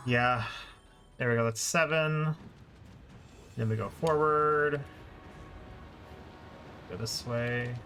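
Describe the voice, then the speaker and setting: Male game streamer, mid-pitched voice, live commentary, thinking aloud, clear game music behind. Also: slow, pauses often, fairly bright tone. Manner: thinks aloud, exclaims in frustration. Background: video game music.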